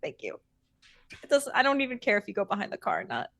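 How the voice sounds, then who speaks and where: A woman voicing a flustered, well-meaning young female vampire in a tabletop game, explaining haltingly and apologetically. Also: medium pitch, big pitch swings, creaky, fairly bright tone, no echo, clean studio sound. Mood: exasperated, pleading.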